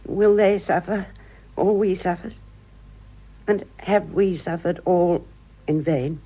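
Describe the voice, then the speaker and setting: Slow, husky upper-class lady. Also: fairly low pitch. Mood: wistful, plaintive. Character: wistful, emotional upper-class English lady. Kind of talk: vintage British radio drama acting. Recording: muffled old radio recording.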